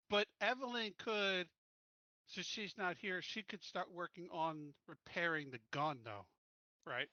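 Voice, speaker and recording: Player, man, bright higher voice, laughs easily, dull voice-chat sound. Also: slow pace, pauses often, no echo. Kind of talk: casual chat over voice call.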